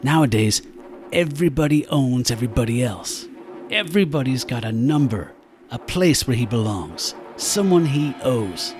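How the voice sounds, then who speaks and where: A man's voice: medium pitch, expressive, normal speed, darkish tone, slight background noise, close to an everyday voice. A man playing a menacing bald biker thug in an audio drama, cocky and cruel, talking in sneering street-tough slang. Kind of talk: radio-style audio drama acting. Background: music.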